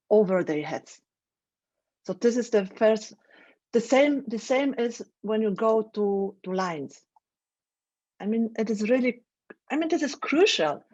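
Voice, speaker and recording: A woman with a Polish accent, a trainer giving a live online lecture, thoughtful and halting, often restarting her phrases. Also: medium pitch, a little mellow, dull audio. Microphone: video call.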